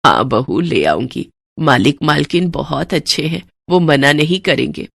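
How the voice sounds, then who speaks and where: Casting: woman voicing a devout working mother of sixty, gentle, deferential, slightly low and dry. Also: animated, a little slow, creaky, breathy edge, no echo, full character voice. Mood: humble. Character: humble, devout, hardworking sixty-year-old mother and labourer.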